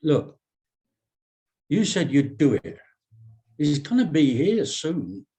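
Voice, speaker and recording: Man reading a slow, coaxing young woman. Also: a little high, big pitch swings, dark tone, heavily acted. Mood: insistent, coaxing. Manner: slangy, coaxing. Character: pleading, streetwise young woman coaxing her friend. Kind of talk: screenplay table read.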